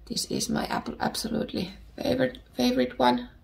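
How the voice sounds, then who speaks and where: A woman in her thirties from Finland, with a Finnish accent, chatting casually as a podcast host while her voice recovers from illness, pausing to search for words. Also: fairly high pitch, wildly swooping pitch, creaky. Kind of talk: casual chat. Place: home.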